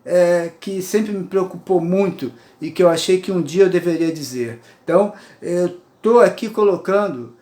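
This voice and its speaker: A man's voice: sits high, moderate pace, a little mellow, echoey room. A man in his sixties with a Brazilian Portuguese accent, giving a rambling, earnest personal monologue from his apartment and often checking in with his listeners. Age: 60s. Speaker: poet and writer sharing views.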